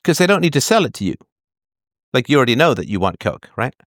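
A man's voice: mid-range voice, sing-song, fast talker, fairly bright tone, dry recording, studio quality. A man in the role of an advice host, talking conversationally and explaining emphatically with analogies, often checking that the listener follows. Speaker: call-in show host giving advice.

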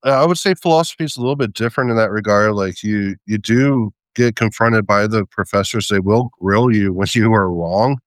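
A man in a casual conversation who speaks hesitantly, with many pauses, fillers and small laughs. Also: fairly low pitch, dry recording. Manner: hesitant, many ums and restarts, light laughs.

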